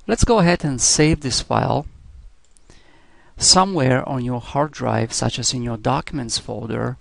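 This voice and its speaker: A man giving step-by-step software instruction in a scripted screencast narration style, as a teacher would. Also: medium pitch, a little slow, gravelly, no room sound.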